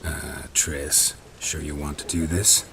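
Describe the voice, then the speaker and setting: An actor playing a stoic, world-weary monster hunter in a video game, terse and deadpan, with a gruff voice. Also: male voice, very deep voice, takes it slowly, slight background noise, heavily acted. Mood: reluctant. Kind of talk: video game dialogue acting. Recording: music behind.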